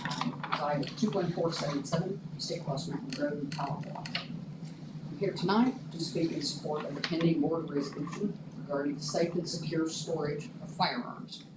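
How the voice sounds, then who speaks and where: A woman's voice: low voice, normal speed. Woman reading a formal statement at a meeting. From Oklahoma. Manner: formal, reads a prepared statement. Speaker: public commenter. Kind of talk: prepared public statement. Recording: echoey room, distant mic, noisy.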